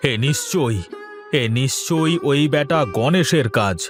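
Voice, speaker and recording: Man voicing a high-pitched, expressive village farmer. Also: unhurried, soft background music. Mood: distraught, wailing. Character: humble, trusting village farmer, adult man, easily distraught. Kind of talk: Bengali cartoon voice acting.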